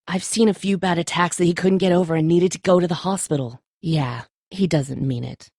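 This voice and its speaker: A woman's voice: on the low side, a little fast, a little mellow, slightly rough, no echo, clean studio sound. An actress playing a tough, stressed, protective woman who leads zombie-apocalypse survivors in a dramatic video game, speaking bluntly and with urgency. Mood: weary, explaining.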